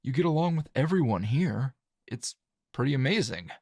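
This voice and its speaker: A man playing a calm, dry, teasing hero and coworker in an intimate ASMR roleplay, talking in a low-key, halting, conversational way. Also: husky, dry recording. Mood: reassuring.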